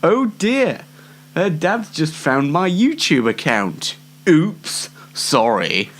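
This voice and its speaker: Casting: British man, 20, solo show host, dry and rambling, with swooping intonation and slow delivery. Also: fairly high pitch, big pitch swings, a bit bright. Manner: dry, tongue-in-cheek, rambling. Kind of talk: informal solo show presenting.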